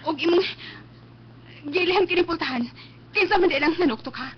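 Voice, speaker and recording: An actress playing a stern, bitter elder sister in a radio drama, with a Visayan Filipino accent and an emphatic, accusing manner. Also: female voice, very high-pitched, brisk, slight background noise. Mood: stern, upset. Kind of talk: Philippine radio drama acting. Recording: dull lo-fi live stream, faint music.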